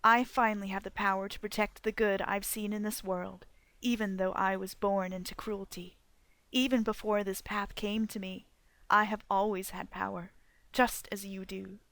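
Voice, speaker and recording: Solemn woman narrator with a creaky, dry-recorded voice. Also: mid-range voice, level tone, a bit bright, dry recording. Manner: solemn, deliberate, oratorical. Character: Kalara Vadras, passionate defiant woman leader recounting her memoir. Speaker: author narrating own fan fiction audiobook. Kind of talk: dramatic first-person scripted narration.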